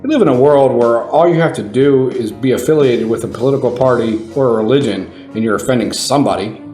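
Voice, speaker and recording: A man's voice: medium pitch, fast talker, mellow, lightly acted. A man playing a cocky, self-important and slightly dim TV news reporter in a satirical audio comedy. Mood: smug, obtuse. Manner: pompous, self-assured. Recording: roomy sound with faint music.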